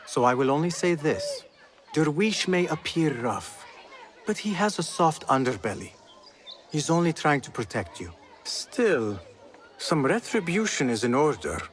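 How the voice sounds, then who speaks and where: A man's voice: on the high side, very animated, a little slow, a faint crowd, slight background noise. A man playing a confident, teasing young street thief in a video game. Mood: playful, consoling. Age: young adult. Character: cocky, playful, warm-hearted young male street thief. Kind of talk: dramatic video game acting.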